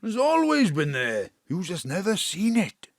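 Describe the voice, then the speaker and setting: A woman playing a plain-spoken, unhurried male caretaker in an audio drama, blunt and colloquial. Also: fairly low pitch, huge pitch swings, creaky, no echo, studio quality, heavily acted. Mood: matter-of-fact.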